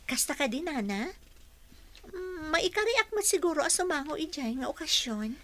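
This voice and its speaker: An actress playing a polite, eager young housekeeper in a radio drama. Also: female voice, sits high, sing-song, normal speed, fairly bright tone, dry recording. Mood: earnest. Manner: polite, deferential.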